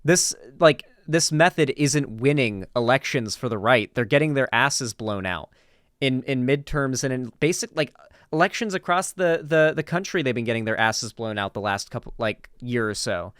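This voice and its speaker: Emphatic American man, crisp dry voice. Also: mid-range voice, animated, moderate pace, fairly bright tone, no echo. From Florida, United States. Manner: emphatic, opinionated, informal, swearing. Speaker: political commentary streamer. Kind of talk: casual reaction commentary.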